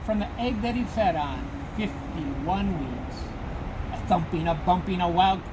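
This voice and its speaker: A woman reading rhyming verse aloud for children, with deliberate, dramatic phrasing. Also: fairly low pitch, expressive. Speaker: storybook reader. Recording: clearly noisy background.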